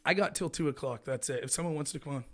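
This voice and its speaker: A man hosting a live political show, ranting in a loud, indignant voice. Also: on the high side, quick pace. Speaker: livestream show host. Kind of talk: heated political commentary.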